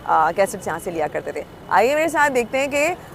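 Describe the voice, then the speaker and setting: A woman's voice: mid-range voice, very animated, fairly quick, gravelly. Female vlog host, Karachi accent, mid-range voice, casual explanatory delivery on location with light background noise. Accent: Pakistani, Karachi. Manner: conversational, explains as she shows the place. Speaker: host and reporter. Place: on location outside and inside a shopping centre. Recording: noisy location with traffic ambience.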